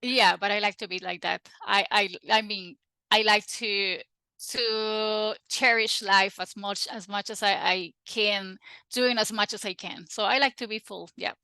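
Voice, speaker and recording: Bright-voiced woman, chatty. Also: mid-range voice, a little slow, clear, resonant tone, no echo. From Costa Rica. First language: Spanish. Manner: chatty and animated, frequent restarts and laughs. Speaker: guest. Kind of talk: casual interview chat.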